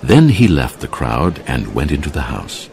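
An actor as a solemn male narrator, giving measured scripted narration in a dramatised audio Bible. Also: rumbling low, sing-song, darkish tone, a little hiss or hum, heavily acted. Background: music.

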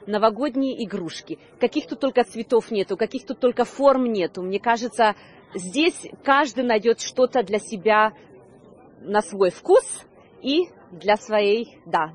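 A woman in her thirties presenting a news report on location outdoors into a handheld microphone, with slightly hesitant delivery. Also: mid-range voice. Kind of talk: on-location reporting, semi-scripted presenting to camera. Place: outdoor Christmas market.

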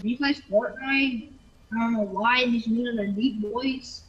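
A woman's voice: a little high, steady tone, unhurried, husky, lo-fi sound, faint music behind. A female player in casual game voice chat, giving defiant, childish comebacks.

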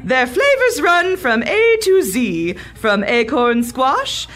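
A woman's voice: sits high, big pitch swings, slow pace, a bit bright, faint music behind, heavily acted. A woman voicing a grand, stately parody First Lady in a 1940s radio commercial, drawing out her words theatrically. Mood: grandly promotional.

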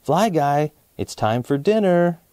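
A man's voice: on the high side, expressive, takes it slowly, warm tone, no room sound, studio quality, pitched above the performer's own voice. A man voicing a friendly, eager young boy in a children's storybook, with simple exclamations and questions. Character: friendly, eager young boy who owns a pet fly. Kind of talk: children's storybook read-aloud.

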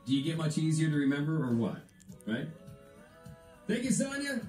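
Male radio host, Canadian accent, relaxed mellow tone, chatty presenting, roomy radio playback. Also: a little high, normal speed, soft background music. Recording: radio broadcast replayed in echoey room.

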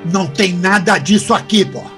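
An actor playing an angry, foul-mouthed middle-aged father in an audio drama, gruff and confrontational. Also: high-pitched, normal speed, a bit bright, faint music behind. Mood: defiant alarm.

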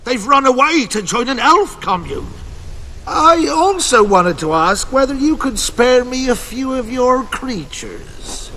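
Game peasant, very high-pitched, fawning, cheeky, sing-song, slow, some background ambience. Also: male voice, expressive, sharp tone, a little hiss or hum, heavily acted, low-quality recording. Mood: pleading, wheedling. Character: fawning, cheeky villager begging the dark lord. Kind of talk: video game cutscene acting.

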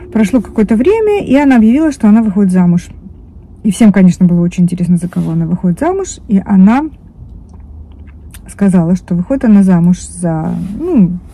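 A woman in her fifties talks to her phone camera from the driver's seat of a parked car. Her voice is soft, dark and warm. She rambles loosely, restarting words and filling pauses as she goes. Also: mid-range voice. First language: Russian. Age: 50s. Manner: rambling, many restarts and fillers. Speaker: vlogger. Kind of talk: casual chat to camera. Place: parked car, indoors of vehicle. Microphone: phone camera on dashboard.

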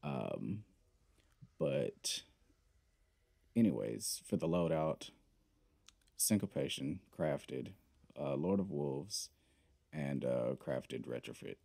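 A man's voice: extremely low pitch, big pitch swings, slow pace, creaky, pauses often, warm tone, dry recording. A man hosting a gaming video, chatting casually and rambling with lots of fillers as he plays. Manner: laid-back, rambling, many fillers. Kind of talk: casual gameplay commentary.

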